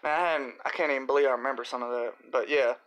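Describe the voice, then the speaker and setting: A man with a slightly raspy voice, hosting a casual live Q&A, reading viewer comments and answering in a laid-back, rambling way with slang. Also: medium pitch, steady tone, rapid-fire, a bit bright, rough recording.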